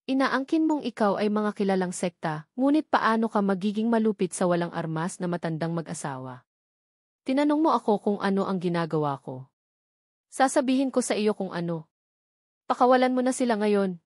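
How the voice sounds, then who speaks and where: A woman's voice: mid-range voice, expressive, moderate pace, darkish tone, no echo, studio quality, lightly acted. A woman voicing a furious, defiant male martial warrior in a novel narration. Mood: furious, threatening.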